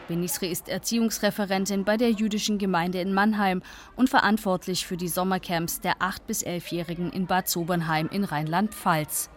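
A woman narrating a radio feature in a measured, scripted way. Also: mid-range voice, slight background noise. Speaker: radio reporter.

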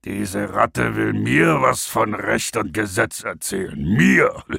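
A man voicing a gruff old male police dog in a children's audiobook, with a gravelly growl. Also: on the low side, animated, slow pace, sharp tone, no room sound, studio quality, exaggerated voice.

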